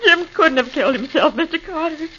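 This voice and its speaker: An actress playing a frightened, grieving widow in a 1940s radio drama, anxious and pleading. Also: female voice, high-pitched, animated, a little fast, sharp tone. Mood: distraught. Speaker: victim's wife. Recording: dull old radio recording with faint hiss.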